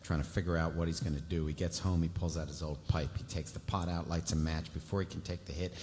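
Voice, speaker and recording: A man's voice: a bass voice, fairly even intonation, fast, a little mellow. A man reading scripted stage directions aloud at a live table read. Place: festival stage in a large hall. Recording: hall reverb with faint noise, live stage.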